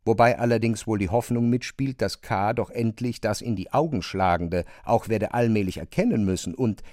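A man's voice: on the low side, moderate pace, a little mellow, dry recording, clean studio sound. A man reading scripted literary narration for a radio play.

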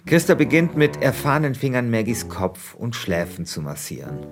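A man's voice: medium pitch, very animated, normal speed, over music. A man hosting a podcast, switching between reading a script aloud and casual chat. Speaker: podcast host.

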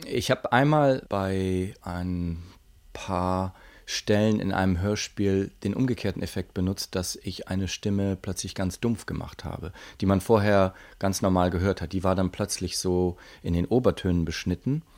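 A man's voice: deep voice, steady tone, unhurried, darkish tone. A man giving a conversational interview statement, explaining his work in radio drama sound.

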